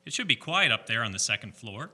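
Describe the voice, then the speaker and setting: A man acts as a dutiful small-town police constable in a radio drama. He is hearty and declamatory. The voice is piercingly bright and swings widely in pitch. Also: sing-song, fast, full character voice. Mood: friendly concern.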